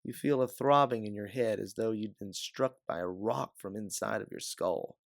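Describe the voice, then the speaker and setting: A man's voice: on the low side, moderate pace, vocal fry, no echo, studio quality. A man reading scripted second-person adventure narration as an audiobook narrator.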